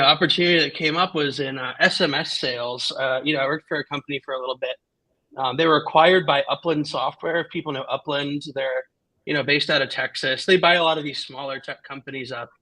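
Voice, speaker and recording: A man's voice: a little high, steady tone, fairly quick, pushed and bright, low-quality recording. A man in his thirties co-hosting a casual tech chat, rambling and padding his talk with fillers. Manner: rambling, full of "like" and "you know". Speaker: podcast co-host.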